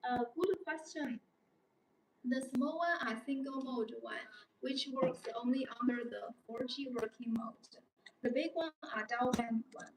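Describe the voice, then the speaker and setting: A woman's voice: sits high, a little slow. Young woman, non-native English, live product presenter, repetitive explaining, echoey room, faint background noise. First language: not English. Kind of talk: unscripted live sales demonstration. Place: indoor showroom studio with desk and backdrop. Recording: roomy, distant mic, background noise.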